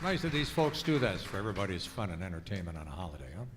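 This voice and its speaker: A man's voice: sits low, sing-song, racing, big-room sound, crowd noise, background noise. A man emceeing with casual, wry, self-deprecating stage patter as a musical entertainer. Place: museum performance space, live before an audience. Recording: live stage with loud guitar music.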